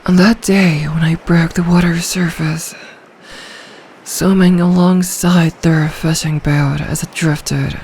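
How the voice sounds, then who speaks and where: A woman's voice: fairly low pitch, fairly even intonation, darkish tone, a little hiss or hum. A woman voicing an ancient, lonely, possessive sea dragon in human form, in a brooding, formal ASMR fantasy roleplay. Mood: wistful. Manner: slow, storybook-formal, brooding, lapses into breathy whispers.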